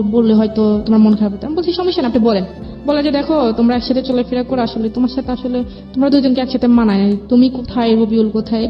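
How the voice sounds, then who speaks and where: A young Bangladeshi woman with a fairly high voice shares a personal story as a guest. She speaks colloquially, relaying conversations as she goes. The sound is slightly dull and lo-fi, with music playing underneath. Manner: colloquial, recounts dialogue as reported exchanges. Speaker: guest telling her life story. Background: background music.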